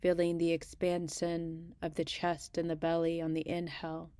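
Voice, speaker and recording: A woman guiding a meditation in a gentle, unhurried manner, leaving long pauses between short phrases. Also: on the low side, completely flat delivery, clear, resonant tone, dry recording. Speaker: meditation guide. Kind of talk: guided body scan meditation.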